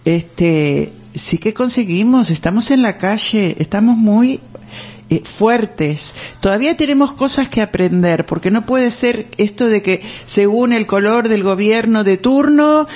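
A woman's voice: medium pitch, animated, unhurried, darkish tone. An older woman with a Rioplatense accent, a co-host musing earnestly on a radio chat, with many tag questions. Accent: Rioplatense Spanish.

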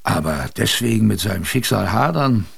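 A man playing an older adventurer who recalls his youth in a creaky voice, with wistful, conversational radio drama narration. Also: on the low side, animated, normal speed, heavily acted. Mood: nostalgic. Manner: conversational, wistful, reflective storytelling.

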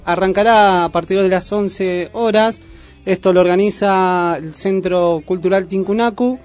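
A man's voice: high voice, fairly even intonation, a little slow. A man with a Buenos Aires accent making casual announcements as co-host of a community radio show. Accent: Argentine, Buenos Aires.

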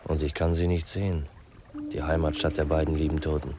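A man's voice: rumbling low, level tone, a little fast, darkish tone, a little hiss or hum. An actor playing a polite, wistful orphaned young man of twenty, earnest and dreamy, in a 1950s radio play. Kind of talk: 1950s German radio drama acting.

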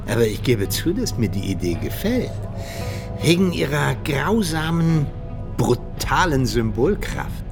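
A ruthless secret-service mentor in a radio play. His delivery is deliberate and commanding, with lively swings in pitch and a conspiratorial edge. Music runs clearly underneath. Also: full character voice. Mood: darkly intrigued. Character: ruthless Soviet agent mentor, coldly persuasive commander. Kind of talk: radio drama acting.

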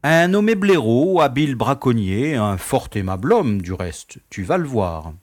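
An actor playing an easygoing, self-important prison director in an audio comedy, speaking in a courteous, formal, paternal way. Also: male voice, medium pitch, big pitch swings, measured pace, dry recording. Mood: genial, self-satisfied. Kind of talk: French radio comedy acting.

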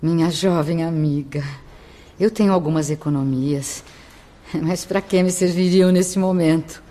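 A woman playing a sick, generous old woman, gentle and resigned, in a radio drama. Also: fairly low pitch, measured pace, lightly acted. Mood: resigned, kind.

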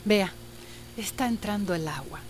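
An actress playing an anxious, flustered wife with a fretful, stammering delivery in a radio soap opera. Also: female voice, medium pitch, measured pace, a little hiss or hum, lightly acted. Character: anxious, flustered wife dealing with a leaking house.

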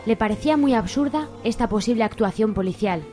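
A woman's voice: on the high side, animated, a little fast, warm tone, soft background music. A woman with an accent from Spain reading scripted narration for a radio drama.

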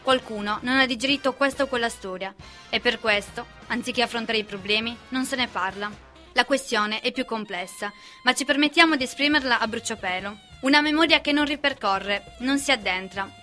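Bright, high-voiced young woman on radio. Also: a little fast, soft background music. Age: young adult. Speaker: co-host of a student history radio segment. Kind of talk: scripted radio history commentary.

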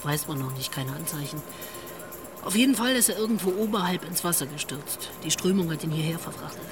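A woman's voice: sits low, expressive, fairly quick, music in the background. An actress playing a wry, worldly police investigator in a radio drama, telling what she knows in a knowing manner. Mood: matter-of-fact, wry. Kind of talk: naturalistic radio drama acting.

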